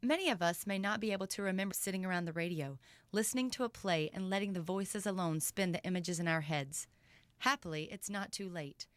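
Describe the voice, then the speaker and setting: 低めで乾いた録音の女性ナレーター. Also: female voice, lowish voice, fairly quick, no echo. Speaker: segment reporter. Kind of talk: scripted feature narration.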